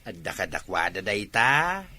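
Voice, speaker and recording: A man's voice: lowish voice, sing-song, measured pace, bright, forceful tone, a little hiss or hum, heavily acted. A man playing a nosy, teasing village friend in a radio comedy-drama, gossipy and prying.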